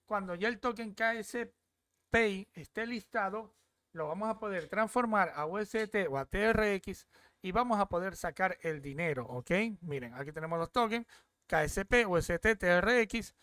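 Chatty young man, smooth dry voice. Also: high voice, lively intonation, moderate pace, sharp tone, dry recording. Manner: chatty, repeats "¿ok?" often. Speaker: crypto app reviewer. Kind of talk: casual screen-share walkthrough.

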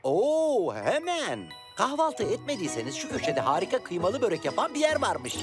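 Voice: mid-range voice, huge pitch swings, moderate pace, over music. A performer voicing a cheerful, curious young car in a children's cartoon, playful, talkative and cheeky. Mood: excited, chatty. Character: cheerful, chatty, curious young red self-driving car. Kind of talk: animated children's cartoon acting.

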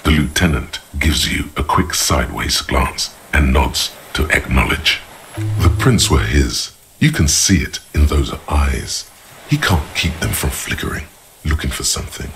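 An actor playing a grave, observant inner voice, narrating a detective's thoughts in a measured, deliberate way in a video game. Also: male voice, very deep voice, animated, creaky, fairly bright tone, full character voice, poor audio. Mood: hushed, grave. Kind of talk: dramatic second-person game narration. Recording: music under the voice. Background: background music.

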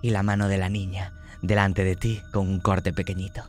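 Deep-voiced Spanish game master narrating horror slowly and dramatically, with faint music. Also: male voice, little pitch movement. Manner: slow, descriptive and dramatic.

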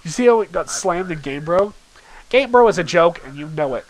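A flippant teenage boy, read by a man, chatting casually in a webcomic. The voice is fairly high and muttered, with a hesitant, offhand delivery. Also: expressive, fairly quick, poor audio. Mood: flippant, low-key. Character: teenage boys bantering in online chat, flippant.

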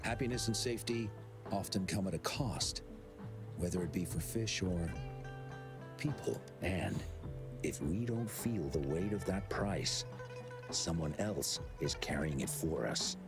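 A kindly game official who looks back fondly on the past. His voice is very low and gravelly, and his pitch rises and falls in a lively way. Game music plays clearly under him. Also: unhurried. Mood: reflective. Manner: soft, reflective, nostalgic. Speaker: game character.

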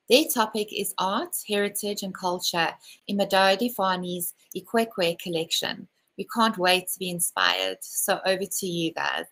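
A woman hosting an online design event introduces the next speakers. Her voice sits at a middle pitch, and she delivers her scripted lines in an upbeat way. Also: a bit bright. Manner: upbeat, announcing. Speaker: event host. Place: indoors, dark panelled room.

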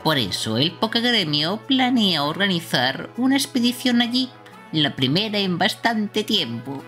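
Woman acting bossy game bird, raised pitch, excitable, announcing, faint music. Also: a little slow. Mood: announcing, proud. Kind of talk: amateur game dubbing.

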